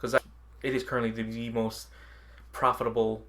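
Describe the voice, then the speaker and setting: A man's voice: medium pitch, little pitch movement, normal speed, fairly bright tone, a little hiss or hum. A man co-hosting a podcast, chatting casually about games and anime in a giggly way. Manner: giggly, often laughing. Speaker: podcast co-host.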